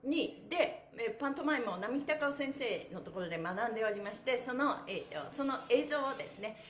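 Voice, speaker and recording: A woman's voice: on the high side, expressive, a little fast, slight background noise. A cheerful, encouraging woman instructing a livestream stretch class, chatty and giggly, with chanted phrases as counts. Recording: echoey room.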